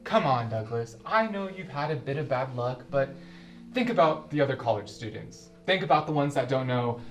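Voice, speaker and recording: An actor playing a patient male counselor in a comedy web series, gently coaxing and instructing. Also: medium pitch, animated, moderate pace, reverberant, lightly acted. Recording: distant mic with music behind.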